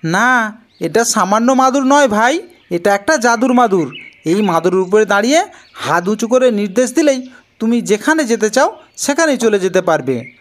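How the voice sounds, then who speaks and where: A man's voice: high-pitched, lively intonation, moderate pace, fairly bright tone, voice pushed up. A man voicing a quarrelling, possessive village brother in a fairy-tale cartoon, with a childish, insistent manner. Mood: earnest. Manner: bickering, insistent, repetitive.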